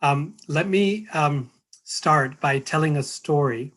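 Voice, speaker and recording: A hoarse middle-aged American man giving a spiritual talk, hesitant, with many fillers and restarts. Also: on the high side, slow, fairly bright tone. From United States. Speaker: guest speaker.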